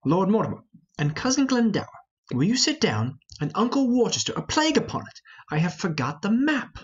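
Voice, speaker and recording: A man's voice: high voice, big pitch swings, fairly quick, slightly rough, lo-fi sound, exaggerated voice. A man playing a hot-headed, mocking young rebel nobleman in a Shakespearean play reading, scoffing and impatient.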